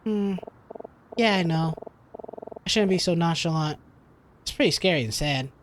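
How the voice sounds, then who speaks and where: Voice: medium pitch, expressive, normal speed, background noise, natural voice. A game player voicing a friendly, earnest young female student tutor in a visual novel. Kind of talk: reading visual novel dialogue aloud. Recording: game music behind the voice.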